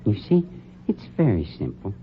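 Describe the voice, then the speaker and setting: A suave, sneering funeral director. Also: male voice, lowish voice, wildly swooping pitch, normal speed. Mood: menacing. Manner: smooth, condescending, sneering. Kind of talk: 1940s radio drama acting. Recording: dull, noisy old radio recording.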